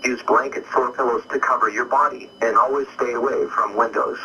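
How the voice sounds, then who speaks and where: A synthetic man's voice delivers an official weather warning with no personal touch. The tone is piercingly bright and the pitch is average. It sounds distant and slightly noisy, like a radio bulletin. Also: steady tone, a little fast. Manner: formal, impersonal. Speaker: emergency alert announcer. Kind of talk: scripted emergency weather warning.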